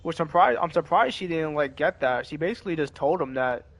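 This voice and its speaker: A man's voice: a little high, animated, brisk. A man giving casual let's-play commentary as a game streamer, musing and thinking aloud. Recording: game music behind the voice. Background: game music.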